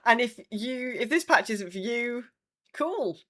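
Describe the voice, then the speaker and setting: A woman co-hosting a podcast in casual chat, wry and rambling, with hesitant pauses. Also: a little high, animated, bright, forceful tone. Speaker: podcast co-host.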